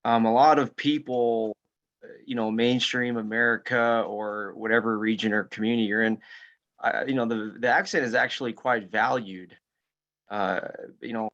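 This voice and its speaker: A man with a fairly low voice chimes in on a group video call with friendly, supportive advice. The sound is dull and lo-fi. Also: a bit bright. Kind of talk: casual group discussion.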